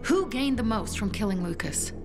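A woman's voice: mid-range voice, very animated, normal speed. An actress playing a tough young female faction leader in a video game, firm, urgent, defiant and protective. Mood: defensive. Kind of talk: dramatic video game acting. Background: game music.

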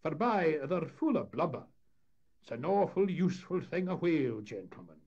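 A man voicing a cautious, opinionated Scottish boat skipper who makes deliberate, dismissive pronouncements in a storybook tale. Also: a little high, very animated, gravelly, darkish tone, slightly rough, highs cut off, pitched above the performer's own voice, heavily acted. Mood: knowing. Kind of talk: storybook character voice in humorous tale.

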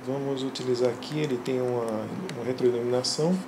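A man calmly and methodically walking through his home flight simulator, recorded on a camera in a small room. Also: medium pitch, fast talker. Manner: calm, methodical, pointing things out. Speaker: flight sim hobbyist. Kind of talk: casual show-and-tell narration. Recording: roomy, with loud fan and engine noise.

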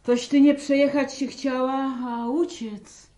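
A woman's voice: high-pitched, little pitch movement, slow pace, mellow. An actress playing a bitter, domineering middle-aged village mother in a radio play, full of reproach. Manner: reproachful, exclamatory. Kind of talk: radio play acting in rural speech. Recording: roomy, faintly noisy radio recording.

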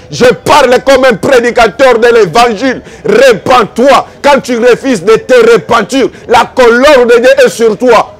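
High-pitched Congolese preacher, exhorting man. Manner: exhorting, accusatory, repetitive rhetorical questions. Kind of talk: fervent evangelical sermon.

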